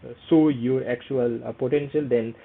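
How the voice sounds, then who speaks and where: A man's voice: mid-range voice, steady tone, moderate pace, gravelly. A young man with a Nepali accent, a native Nepali speaker, walking through a screen-share tutorial in hesitant English full of fillers and repeated "okay". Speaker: vlogger. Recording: tinny phone-like audio.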